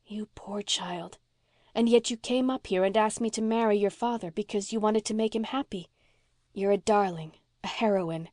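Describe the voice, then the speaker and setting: A tender, sincere woman as an audiobook reader plays her, talking softly and frankly as if to a child she is fond of. Her pitch sits in the middle and the recording is dry and intimate. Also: moderate pace, no echo, close to an everyday voice. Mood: tender dismay. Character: gentle, frank, affectionate young woman comforting a child. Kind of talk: audiobook character voice.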